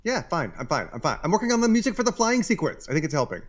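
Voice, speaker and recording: A jittery male composer, swoopy pitch, wry and defensive, stammers, faint music behind. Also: sing-song, rapid-fire. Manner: stammering, wry, repeats himself. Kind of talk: comedy audio drama acting.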